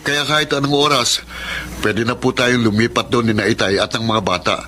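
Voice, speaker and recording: An actor playing a kind, dutiful, devout son in a Filipino radio drama, speaking politely and earnestly. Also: male voice, medium pitch, steady tone, fairly quick, bright, forceful tone, a little hiss or hum, close to an everyday voice. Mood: respectful, content.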